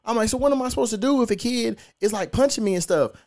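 A man's voice: extremely high pitch, quick pace, darkish tone. A young man, hosting a podcast and telling stories in a laid-back, profane, slangy way. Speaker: podcast host. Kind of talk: casual podcast storytelling.

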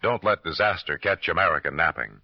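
A man's voice: low-pitched, brisk, loud music behind the voice. A man announcing in formal, solemn 1950s radio style, reading scripted copy with emphasis. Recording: dull lo-fi old radio recording.

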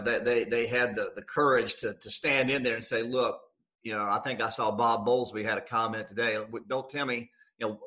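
A man's voice: mid-range voice, bright, forceful tone. An American man, a radio sports announcer, talking conversationally in an interview with emphatic, folksy phrasing.

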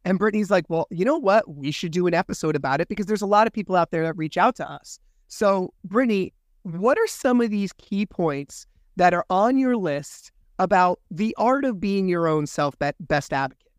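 A man hosts a podcast with a raspy voice because he is a little under the weather. He sits a touch high in pitch and talks candidly, swearing freely. The sound is close and dry, with no room around it. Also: animated, moderate pace, no echo. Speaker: podcast host. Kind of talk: casual podcast chat.

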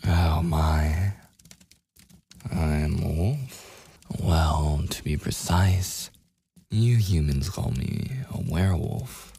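Man voicing a very deep, gravelly werewolf. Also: mellow, dry recording, heavily acted. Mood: sardonic. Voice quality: gravelly, husky, breathy. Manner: slow, teasing, menacing. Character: possessive, taunting male werewolf predator. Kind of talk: boyfriend ASMR audio roleplay acting.

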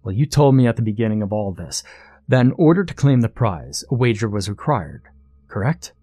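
A man's voice: on the low side, very animated, brisk, mellow, no room sound. A man playing a clever, determined contestant in a storybook, arguing his case in an assertive, matter-of-fact way. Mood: methodical, insistent. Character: determined, clever male contestant, a loophole-hunting everyman.

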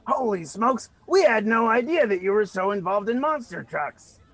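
A man voicing an earnest, excitable little radio reporter in a scripted comedy radio drama. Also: sits high, moderate pace, no echo, full character voice. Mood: eager.

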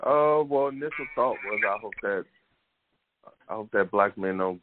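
A thoughtful man with a very low voice joins a radio call-in discussion as a coach. He speaks deliberately, and his voice comes through a narrow, phone-like line. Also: background noise. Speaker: coach, co-host guest.

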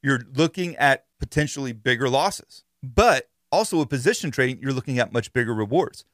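A man's voice: mid-range voice, lively intonation, a little fast, a bit bright, no room sound. A man hosting a podcast, explaining things in an informal, conversational monologue on a close mic. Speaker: podcast host.

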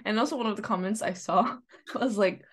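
A young woman from Macau co-hosting a podcast, asking questions in a relaxed casual chat. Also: mid-range voice, fairly quick. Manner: relaxed, prompting questions, laughs softly. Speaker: podcast co-host.

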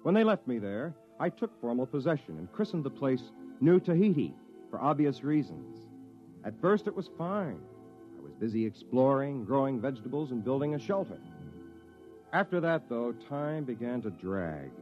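Male actor as earnest young misfit space settler, old radio drama. Chatty, lively intonation, muffled broadcast sound. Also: medium pitch, normal speed, soft background music, natural voice. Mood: reflective. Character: self-declared misfit young man, proudly eccentric space settler. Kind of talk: 1950s radio drama acting, first-person narration.

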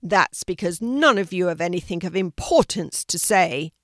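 A woman's voice: medium pitch, lively intonation, gravelly, no echo, studio quality, pitched above the performer's own voice. Lady doing a bossy storybook lion king, roars and brags, stretches words out, snarly. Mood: fierce, haughty. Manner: roaring, boastful, drawn-out delivery. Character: domineering snarling male lion, king of beasts.

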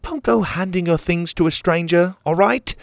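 A man voicing a gruff, protective young adventurer in a children's story, speaking in terse, defiant bursts. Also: high voice, moderate pace, no echo, voice pushed up. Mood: gruff, calm. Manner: growling, terse commands.

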